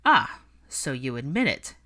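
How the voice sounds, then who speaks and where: A woman voicing a cold, snide, guarded man from a story, with a clipped and deliberate delivery. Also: very deep voice, sing-song, bright, forceful tone, exaggerated voice. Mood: sardonic.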